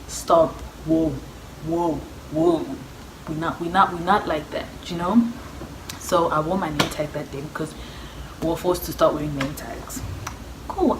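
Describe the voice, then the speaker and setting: Vlogger, young woman, South African accent, low register, chatty slangy storyteller who plays out dialogue. Echoey, noisy room recording. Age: young adult. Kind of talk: casual personal storytelling to viewers. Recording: echoey room with clear background noise.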